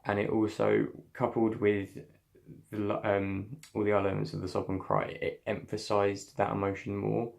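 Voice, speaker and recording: A man's voice: completely flat delivery, moderate pace, gravelly, darkish tone. A young man, an acting student, reflecting on his voice work in a scene, talking thoughtfully with many restarts. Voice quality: slightly deep voice.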